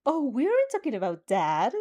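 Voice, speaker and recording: Lady doing an excitable teen girl, bubbly, mellow tone. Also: a little high, fairly quick, lo-fi sound. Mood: excited. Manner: excited, eager.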